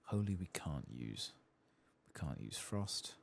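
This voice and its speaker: Very deep, gravelly soft-spoken man. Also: very animated, slow pace. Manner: muttered, absent-minded thinking aloud, casual swearing. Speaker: host of a gameplay channel. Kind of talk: soft-spoken ASMR gameplay commentary.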